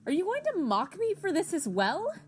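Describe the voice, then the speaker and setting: A woman's voice: very high voice, big pitch swings, normal speed, a little hiss or hum. A woman playing a proud, defensive woman politician in an amateur comic drama, speaking formally and indignantly. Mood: defensive, hurt.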